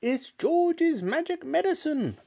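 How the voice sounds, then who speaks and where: A man reading a storybook aloud and voicing a boastful, cackling old grandmother in a shrieking falsetto. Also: sing-song, takes it slowly, vocal fry, mellow, full character voice. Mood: gleeful shouting.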